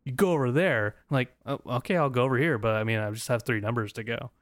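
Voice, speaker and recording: A man's voice: mid-range voice, fast, no room sound, studio quality. American man telling a rambling story. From United States. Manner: rambling, laughing, many restarts. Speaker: podcast co-host. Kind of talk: casual storytelling chat.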